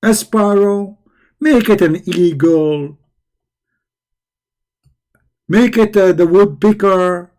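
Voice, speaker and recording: A hoarse man who is losing his voice, giving a sarcastic, scornful commentary. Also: sits high, takes it slowly, a little mellow. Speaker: religious critic. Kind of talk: mocking video commentary.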